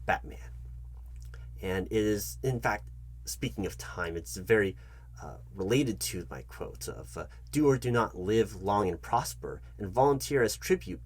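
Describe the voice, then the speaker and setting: A man's voice: on the low side, breathy edge. A man playing a pompous, bumbling job interviewer in a comedic roleplay, condescending and fussy, stammering and correcting himself. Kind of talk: comedic ASMR roleplay addressed to the listener.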